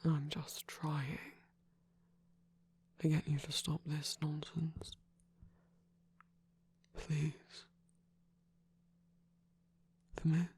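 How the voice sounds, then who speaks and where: A performer of unclear sex playing an affectionate, battle-scarred villain lover in an intimate ASMR roleplay, tender, teasing and soft-spoken, with a breathy, husky voice. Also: medium pitch, flat delivery, extremely slow, long pauses, exaggerated voice. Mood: earnest, coaxing.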